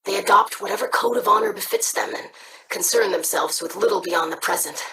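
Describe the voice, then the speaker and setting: An actress playing an earnest, curious young alien scholar in a video game. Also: female voice, mid-range voice, wildly swooping pitch, fairly quick, creaky, sharp tone, full character voice, low-quality recording. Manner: earnest, eager.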